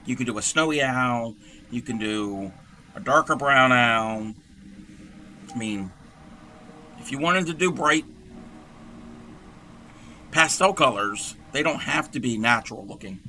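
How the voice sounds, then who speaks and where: Man, hoarse and scratchy, bright edgy tone, chatty craft-stream host. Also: medium pitch, a little slow, long pauses. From Texas. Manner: chatty and rambling, self-deprecating jokes. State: hoarse, scratchy voice with frequent throat clearing. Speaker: crochet livestream host. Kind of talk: casual craft tutorial while livestreaming.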